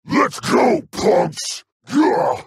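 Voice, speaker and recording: Gravelly, blustering dragon warrior. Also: male voice, high voice, very animated, drawn-out words, no room sound, clean studio sound, exaggerated voice. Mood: fierce bellowing. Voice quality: gravelly, raspy growl. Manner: blustering, swinging from growls to bellows. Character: brutish, hot-tempered male dragon warrior, gruff and boastful. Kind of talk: video game character barks.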